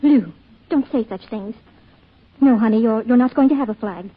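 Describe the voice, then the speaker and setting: Worried protective mother, highish husky voice, earnest, pleading, old radio drama, phone-like thin recording. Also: moderate pace. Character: anxious, protective young mother and wife. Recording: tinny, band-limited old radio recording.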